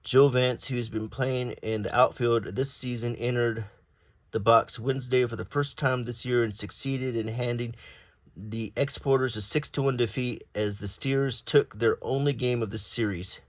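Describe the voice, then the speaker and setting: A man reading an old newspaper aloud in a low-key, casual ASMR style, adding offhand comments as he browses. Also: fairly even intonation, moderate pace, dry recording.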